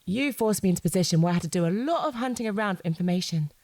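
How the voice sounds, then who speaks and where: A woman's voice: on the low side, expressive, very fast, darkish tone, no echo. An actress playing a suspicious, persistent wife questioning her husband in a naturalistic audio drama, in a controlled, sceptical, probing way. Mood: controlled, pointed.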